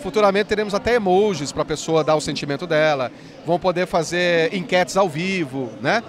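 Fast-talking man at a busy expo. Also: high voice. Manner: fast, enthusiastic explainer with many "né"s. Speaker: app company founder. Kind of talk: trade fair interview. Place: busy indoor expo hall. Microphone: handheld mic.

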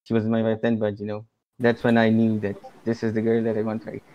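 A man's voice: fairly low pitch, completely flat delivery, brisk, highs cut off, a little hiss or hum. A man guest on a podcast telling a story in a casual, chatty way, with lots of fillers and a self-amused tone.

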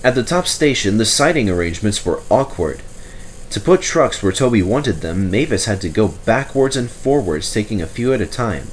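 A man reading a children's story aloud in expressive, scripted narration. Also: fairly low pitch, moderate pace, slight background noise. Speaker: storybook reader.

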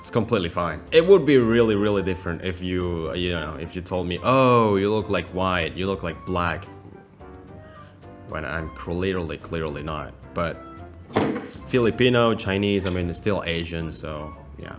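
Young Indonesian man, very deep voice, a little robotic, playful video chat host. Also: normal speed, soft background music. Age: young adult. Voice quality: low, slightly robotic-sounding voice. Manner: playful, laughs often, switches languages. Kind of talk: casual chat with strangers. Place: small room with shelves, indoors.

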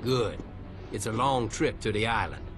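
An actor playing a cocky, taunting escaped prisoner with a Louisiana Cajun accent in a video game. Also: male voice, lowish voice, moderate pace, lightly acted. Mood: cocky, teasing. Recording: loud music over the voice.

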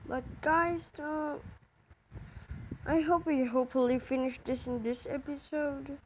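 A female let's play host with a somewhat high voice talks slowly and informally, half to themself, often repeating words. It is a homemade gaming commentary with noticeable noise. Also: steady tone. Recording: noisy home recording.

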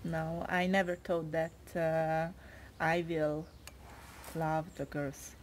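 A woman in her twenties with a Hungarian accent and Hungarian as her first language, answering interview questions in a soft-spoken, hesitant way with frequent pauses and fillers. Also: deep voice, little pitch movement, a little hiss or hum. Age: 20s.

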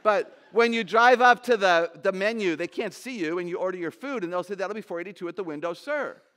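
Older lady with a really low voice telling funny stories at a church conference, big stage. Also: brisk. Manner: animated, humorous storytelling. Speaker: conference speaker. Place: large stage.

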